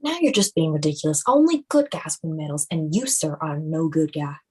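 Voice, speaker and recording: A woman plays a matter-of-fact cartoon game character. Her voice is lowish and husky, with a dismissive way of putting things. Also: lively intonation, fairly quick, darkish tone. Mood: scornful. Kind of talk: animated film script table read.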